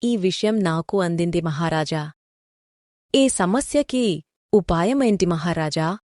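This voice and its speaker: A woman's voice: on the low side, lively intonation, slow pace, a little mellow, dry recording, clean studio sound. A woman voicing a concerned queen and protective mother in a cartoon moral story.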